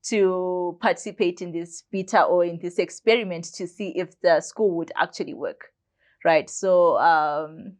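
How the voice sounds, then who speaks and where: Young woman, about 20, lowish voice, chatty guest, filler-heavy. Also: measured pace. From Zimbabwe. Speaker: guest, biomedicine student. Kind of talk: casual storytelling in conversation.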